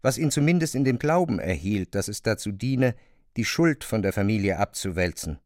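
Actor as brooding man with lively intonation. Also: on the low side, normal speed, no echo, studio quality, close to an everyday voice. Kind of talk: literary radio drama.

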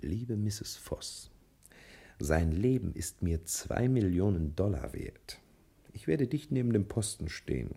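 An actor playing a scheming, smooth-talking detective in a German radio play, with a husky voice and drawn-out phrasing capped by little tag questions. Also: male voice, lowish voice, big pitch swings, mellow, full character voice. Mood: persuasive, plotting. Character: scheming, smooth-talking male detective hunting stolen millions.

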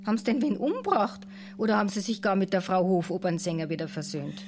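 A woman's voice: medium pitch, fast talker, background noise, close to an everyday voice. Nosy Bavarian shopkeeper woman, played by an actress. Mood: nosy, astonished. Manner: inquisitive, colloquial. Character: nosy, outspoken old Bavarian tobacconist woman. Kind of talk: radio play dialogue.

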